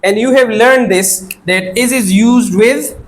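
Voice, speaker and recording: Guy w teaching english grammar, high voice, keeps repeating and asking questions, bit of background noise. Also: a little slow. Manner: didactic, repeats and prompts students with questions. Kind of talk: interactive classroom grammar lesson.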